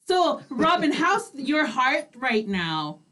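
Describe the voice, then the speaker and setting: A woman's voice: sits high, sing-song, slow pace, fairly bright tone. A woman hosting a casual live interview, chatting in a gushing, giggly way. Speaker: radio show host.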